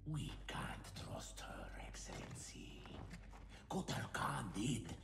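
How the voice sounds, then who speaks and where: Whispering, gravelly otherworldly creature. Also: male voice, mid-range voice, huge pitch swings, slow pace, full character voice. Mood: wary, sinister. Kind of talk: dramatic video game voice acting. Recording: echoey, distant from the mic.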